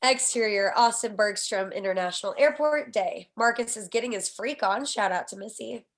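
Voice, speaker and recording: Woman, mid-pitched, stage directions reader, scripted screenplay narration, table read. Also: normal speed.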